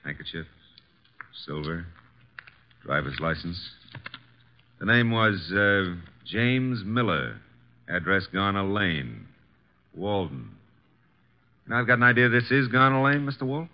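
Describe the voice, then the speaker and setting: An American actor playing a breezy, wisecracking detective's assistant in a 1950s radio drama. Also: male voice, on the low side, lively intonation, takes it slowly, pauses often. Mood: reluctant, subdued. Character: wisecracking, easygoing male detective's legman. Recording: noisy, muffled vintage radio recording.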